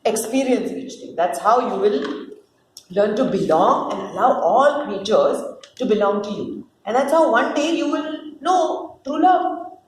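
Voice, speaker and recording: A woman's voice: on the high side, lively intonation, a little slow, darkish tone. A woman voicing God as a doting, chatty, motherly creator who fusses and instructs in a dramatic play reading. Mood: instructing. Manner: casual, instructing, fussing with interjections. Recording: roomy, distant mic, faint noise.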